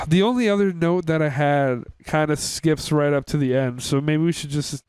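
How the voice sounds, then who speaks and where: A man's voice: a little high, normal speed, a little mellow, clean tone, dry recording. A man co-hosting an informal chat, recapping in a loose, hesitant way with casual swearing. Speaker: podcast co-host. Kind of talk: casual chat reviewing cartoon episodes.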